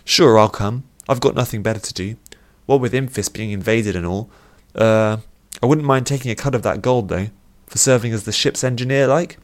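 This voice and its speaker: A man's voice: medium pitch, normal speed, hardly any pauses, voice pushed up, natural voice. A man voicing a casual female airship engineer in an audiobook, laid-back and colloquial. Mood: nonchalant. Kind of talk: audiobook character voice.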